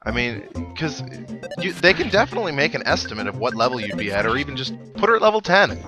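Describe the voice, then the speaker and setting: A man's voice: medium pitch, sing-song, quick pace. Young man rambling over game music. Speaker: video game let's player. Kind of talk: casual gameplay commentary. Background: video game music.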